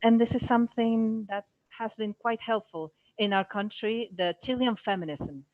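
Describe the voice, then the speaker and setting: Dull dry audio, halting woman interpreter. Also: a little high, no echo. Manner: halting, phrase by phrase, self-correcting. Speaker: live interpreter voicing panelists. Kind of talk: live simultaneous interpretation of a panel discussion.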